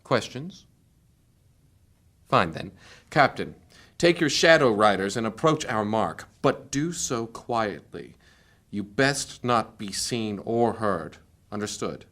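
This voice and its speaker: A grandiose, menacing dark priest leader. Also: male voice, lowish voice, lively intonation. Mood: cold command. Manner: grandiose, measured oratory. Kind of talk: high fantasy audio drama acting.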